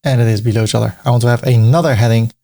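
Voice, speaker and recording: Dutch-accented man, gravelly tutorial voice. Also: medium pitch, fairly even intonation, rapid-fire, dark tone. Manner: step-by-step, casual, light joking asides. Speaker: web design tutor. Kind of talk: screen-recorded tutorial narration.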